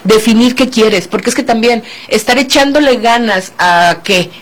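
A woman's voice: on the high side, normal speed. A woman journalist co-hosting a radio talk show, asking reflective questions with a light touch of humor. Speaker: journalist and guest co-host.

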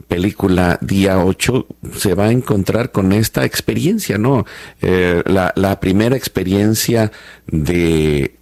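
Low-voiced Mexican man in his fifties. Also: a little mellow, no echo. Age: 50s. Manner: hesitant, reflective, searching for words. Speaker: host. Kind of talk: conversational testimony in a panel interview.

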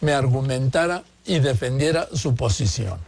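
A man's voice: medium pitch, lively intonation, normal speed, gravelly, a little mellow. An older man hosting a conversational news interview, insistently restating his points. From born in Madrid, naturalized Mexican. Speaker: interviewer and host.

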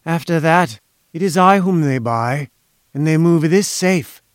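A man's voice: a little high, expressive, no echo, studio quality. Man voicing an oily, velvety foreign schemer. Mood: boastful. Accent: slight foreign. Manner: slow, obsequious, deliberate. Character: oily, menacing, boastful foreign inventor-conspirator, a man.

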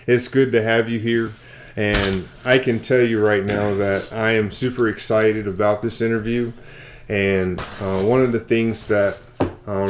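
A man with a fairly low voice hosts an interview show. He sounds upbeat and encouraging as he introduces his guest and asks questions. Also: background noise. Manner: upbeat, encouraging, frequent backchannels. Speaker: show host. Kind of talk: interview hosting.

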